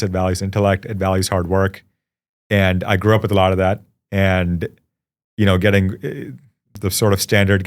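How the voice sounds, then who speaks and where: Thoughtful Indian-born man, low-voiced guest. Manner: thoughtful, reflective, often pausing with "you know". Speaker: guest, startup founder. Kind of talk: casual interview conversation.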